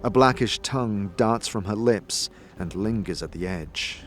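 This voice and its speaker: A man's voice: lowish voice, a little slow, fairly bright tone, soft background music. A man reading scripted, dramatic narration for a fantasy audio series.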